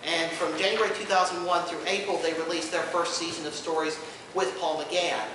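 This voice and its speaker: A man's voice: a little high, flat delivery, a little fast, bright, forceful tone. A man hosting an informal convention panel, explaining things with dry humor. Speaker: panel host and podcast co-host. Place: indoor convention panel room. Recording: echoey room, distant mic, background noise.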